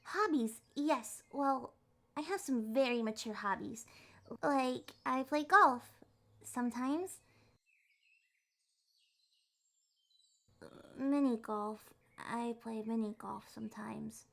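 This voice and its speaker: A woman's voice: a little high, slow pace, creaky, fairly bright tone, heavily acted. A woman voicing an adorkable young kitsune in an ASMR roleplay, with a flustered, hesitant, primly formal way of talking. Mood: embarrassed. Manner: flustered, hesitant, primly wordy, awkwardly insisting on maturity. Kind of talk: ASMR roleplay, acted one-sided date conversation.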